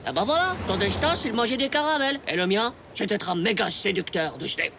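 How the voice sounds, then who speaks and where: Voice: mid-range voice, lively intonation, a little fast, creaky, noisy background. An actor voicing a cocky, boastful ten-year-old boy with a slangy, dramatic, whiny delivery in a French cartoon. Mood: smug. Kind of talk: French animated TV comedy.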